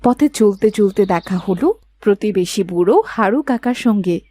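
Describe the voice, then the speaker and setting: A woman's voice: medium pitch, moderate pace, dark tone, no room sound. A woman giving scripted narration for a cartoon folk story.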